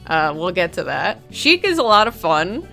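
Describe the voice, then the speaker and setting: Woman gamer vlogger, chill and low-key, bright tone. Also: moderate pace, vocal fry, faint music behind. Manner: chill, somewhat monotone. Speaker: competitive gamer and content creator. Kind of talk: casual vlog.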